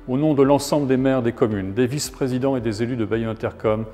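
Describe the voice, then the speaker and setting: A man from France, a local elected official, reading a scripted New Year address in a formal, measured way. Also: mid-range voice, dark tone. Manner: formal, measured delivery of a prepared speech. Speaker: local elected official, head of an intercommunal council. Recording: long hall reverb, music underneath. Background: background music.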